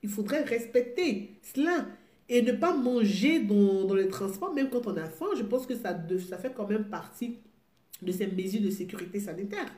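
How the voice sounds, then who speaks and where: A woman's voice: medium pitch, lively intonation, moderate pace, dark tone. A woman with a cold hosting a live call-in show, chatting casually, hesitating with many fillers and repeating herself. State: has a cold. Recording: echoey room with music playing.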